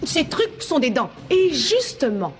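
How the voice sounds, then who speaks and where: Cartoon teacher: high, swooping voice, fearless and theatrical, leads field trips with zest over music. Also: female voice, sing-song, measured pace, slight background noise. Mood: enthusiastic, instructive. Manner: theatrical, enthusiastic. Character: zany, fearless woman schoolteacher leading a field trip. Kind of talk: French cartoon dubbing. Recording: music playing behind the voice.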